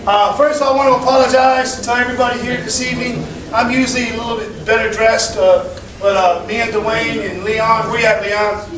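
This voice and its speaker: Guy running a community meeting, loud and folksy, highish voice, echoey hall, mic far off. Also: fairly even intonation, brisk, hardly any pauses, crowd noise. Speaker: meeting chairman. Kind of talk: public address to a meeting.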